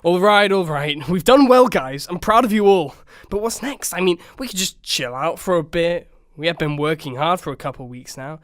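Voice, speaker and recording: A man's voice: high voice, animated, normal speed, hardly any pauses, a bit bright, no room sound, clean studio sound. A man playing an earnest, modest horse who leads a band in an audio story, with a mild, hesitant manner. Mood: upbeat, decisive. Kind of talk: children's story character acting.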